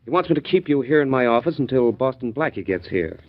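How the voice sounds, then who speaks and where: An actor playing a smooth, polite office manager who turns traitor in a 1940s radio drama. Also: male voice, medium pitch, lively intonation, fast talker, natural voice. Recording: dull old radio recording.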